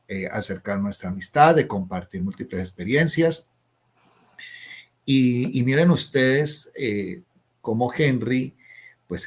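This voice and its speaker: A man from Colombia hosting an interview, speaking in a measured, flowery way. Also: lowish voice, darkish tone, slightly rough. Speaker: interviewer, host. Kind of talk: formal interview questions. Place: Cali, Colombia.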